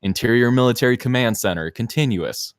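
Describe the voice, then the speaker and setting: A man's voice: medium pitch, a little fast, smooth, no echo, studio quality. A man narrating scene descriptions and stage directions at a screenplay table read.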